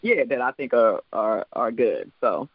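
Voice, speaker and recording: A comedian from the Virgin Islands with a mixed island, Midwestern and New York accent, chatting loosely in an interview, rambling, laughing and swearing casually. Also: male voice, mid-range voice, animated, measured pace, slightly rough, dry recording, phone-quality sound. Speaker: comedian and show producer.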